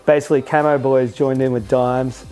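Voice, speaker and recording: A man's voice: mid-range voice, little pitch movement, moderate pace, dark tone. A young man, a DJ and podcast host, hyping up stories, teasing his friends. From Turkish heritage. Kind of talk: casual chat.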